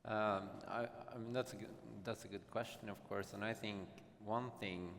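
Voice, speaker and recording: A man's voice: lowish voice, fairly even intonation, normal speed, gravelly, a bit bright. A man with a Swedish accent speaking conversationally on a conference panel, often searching for words. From Sweden. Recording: conference hall reverb with faint noise.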